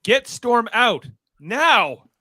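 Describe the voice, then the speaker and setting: A high, sharply bright and swooping voice for an earnest young leader in a radio play, acted by a man. He is hot-tempered and urgent, quick to sound indignant. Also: sing-song, dry recording, clean studio sound, full character voice. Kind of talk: live-read radio theatre acting.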